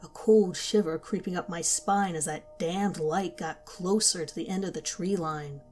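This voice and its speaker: A woman narrating a first-person horror story in a calm, measured storytelling manner. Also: lowish voice. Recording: faint background music.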